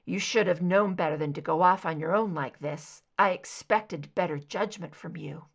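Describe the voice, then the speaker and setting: A woman's voice: on the low side, moderate pace, creaky, voice pushed down. A woman reading an angry, protective male agent in an audiobook, in a terse, confrontational, emotional way. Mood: angry, accusing. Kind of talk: dramatic audiobook character dialogue.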